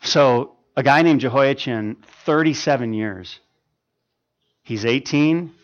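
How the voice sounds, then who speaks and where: A man in his fifties preaching a sermon, swinging from loud emphatic stretches to quiet ones, with frequent stammered restarts. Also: mid-range voice, takes it slowly, lo-fi sound. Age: 50s. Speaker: pastor.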